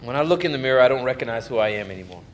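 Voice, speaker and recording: A man's voice: mid-range voice, animated, racing, slight background noise, close to an everyday voice. An actor playing a troubled young man who speaks about his pain in an earnest, pained way. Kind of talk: stage play acting.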